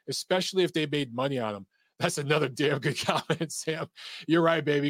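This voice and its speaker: A man's voice: a little high, no echo. A man hosting a live-stream boxing talk show, chatting casually and reading viewer comments aloud. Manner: casual, reads viewer super chats aloud.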